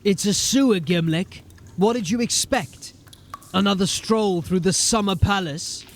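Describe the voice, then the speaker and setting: High-pitched, resolute watch sergeant. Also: male voice, measured pace, slight background noise. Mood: dry, brisk. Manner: commanding, clipped orders with dry sarcasm. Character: gruff, resolute male sergeant of a fantasy city watch. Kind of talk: fantasy audio drama acting.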